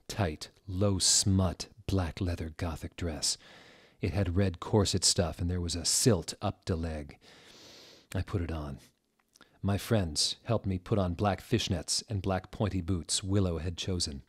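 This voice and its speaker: A man in his thirties reads a story aloud in a fairly low voice. His pace is very slow and deliberate, and his delivery is theatrical. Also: husky. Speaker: reader, show host. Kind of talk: dramatic fan fiction reading. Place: small furnished room indoors. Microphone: desk microphone, close.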